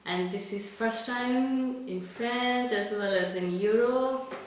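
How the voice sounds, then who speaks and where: A woman in her thirties from Bangladesh, a development project manager speaking slowly and haltingly to a camera, with many pauses and fillers. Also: on the high side, slight background noise. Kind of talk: presentation to camera. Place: plain indoor room. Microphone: camera mic from a few feet away. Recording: echoey room, distant mic.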